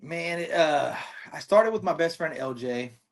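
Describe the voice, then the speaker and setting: Man in his 30s, podcast host, slightly high voice, relaxed and slangy, a bit boastful. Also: measured pace, gravelly. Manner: laid-back, boastful, lots of slang. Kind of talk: casual podcast chat.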